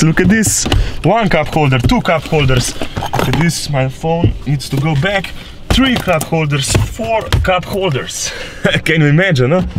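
A young man in his twenties vlogging from inside a small car on a highway, chatty and excitable, laughing often. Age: 20s. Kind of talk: casual road-trip vlog. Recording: loud road and engine noise. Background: car and road noise.

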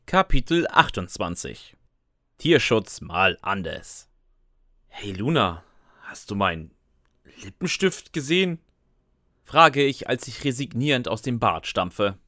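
A man's voice: mid-range voice, big pitch swings, takes it slowly, long pauses, sharp tone, dry recording. A man narrating and acting the lead in a scripted comic fan audio drama, with a dry, sarcastic, resigned manner. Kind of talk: scripted first-person comic narration with dialogue.

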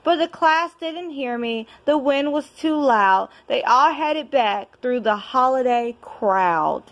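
Woman, somewhat high-pitched, expressive children's read-aloud, very unhurried and deliberate delivery. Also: dull audio. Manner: measured, deliberate storybook delivery. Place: indoors at home.

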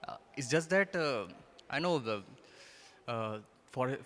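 A man's voice: mid-range voice, expressive, slow pace, a bit bright. A man asking an unscripted question as an audience member at a conference. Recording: reverberant hall sound.

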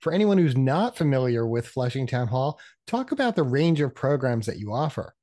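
A man's voice: mid-range voice, big pitch swings, moderate pace, warm tone. A man hosting an interview, asking questions in a friendly, conversational way. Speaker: interview host.

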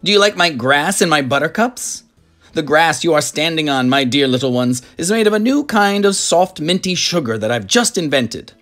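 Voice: medium pitch, animated, moderate pace, a bit bright, faint music behind, voice pushed up. A narrator voicing a flamboyant, boastful man who owns a storybook factory, theatrical and full of exclamations. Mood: delighted, boastful.

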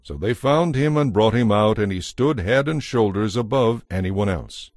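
Low, lively male Bible narrator. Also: moderate pace, no room sound, clean studio sound. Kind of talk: scripted dramatized Bible narration.

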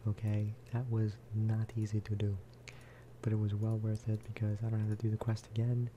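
A man giving a relaxed, casual ASMR gameplay commentary in a soft, breathy whisper, close to the microphone. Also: fairly low pitch, a little fast, a little hiss or hum. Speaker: video game streamer and host.

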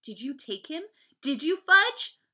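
A woman's voice: on the high side, very animated, a little slow, sharp tone, pitched above the performer's own voice, exaggerated voice. A woman voicing an indignant, exasperated schoolboy big brother in a children's story. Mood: anxious, demanding. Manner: emphatic, indignant.

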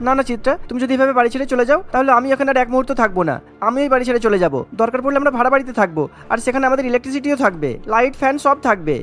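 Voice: sits high, expressive, very fast, few pauses, fairly bright tone, soft background music. A voice actor playing a concerned, devoted young husband in a cartoon story. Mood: insistent.

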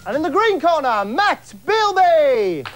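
A man's voice: very high voice, big pitch swings, unhurried, loud music behind the voice. A young man hosting a TV game show in a studio, with a camp, mock-grand showman delivery. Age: young adult. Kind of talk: theatrical showman presenting.

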